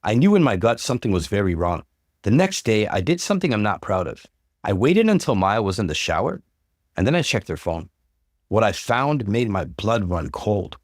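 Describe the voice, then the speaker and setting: A man's voice: lowish voice, normal speed, dry recording, clean studio sound. A man reading a first-person relationship story as a dramatic scripted narration, acting out the emotion expressively. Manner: expressive, acts out dialogue.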